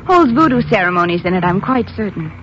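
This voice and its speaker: An actress playing a gracious, composed colonial hostess with a polite manner in a 1950s radio drama. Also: female voice, medium pitch, big pitch swings, fast, close to an everyday voice. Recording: muffled, noisy old radio recording.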